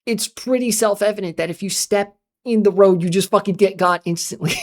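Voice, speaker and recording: A woman hosting a casual livestream, her voice slightly raspy and strained from long talking, speaking in halting bursts with many fillers and restarts. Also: mid-range voice. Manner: halting, many fillers and restarts, talks fast then slows. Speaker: livestream host. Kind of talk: casual livestream chat, reading chat and rambling on.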